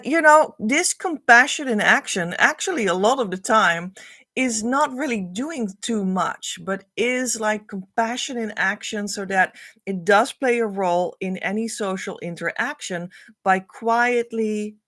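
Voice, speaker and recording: A woman whose first language is Dutch, speaking English with a Dutch accent, teaches spiritual values on a livestream in an earnest, repetitive, flowing way with soft trailing phrase ends. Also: mid-range voice, lively intonation, unhurried, no room sound, clean studio sound. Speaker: spiritual coach.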